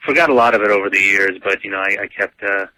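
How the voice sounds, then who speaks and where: A man, answering interview questions in a relaxed way, full of "um" and "like" fillers. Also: lowish voice, quick pace, no room sound, poor audio. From United States (grew up). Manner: easygoing, lots of "um" and "like" fillers. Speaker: guest.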